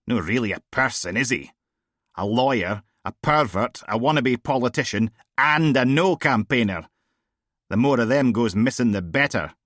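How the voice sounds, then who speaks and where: A man voicing a brash, crude middle-aged woman who runs a police team, loud and mocking. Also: mid-range voice, expressive, normal speed, no echo, clean studio sound, voice pushed up. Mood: ranting.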